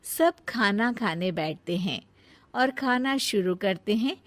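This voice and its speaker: Slow, measured woman narrating a story. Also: medium pitch, lively intonation, no room sound. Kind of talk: scripted cartoon moral story narration.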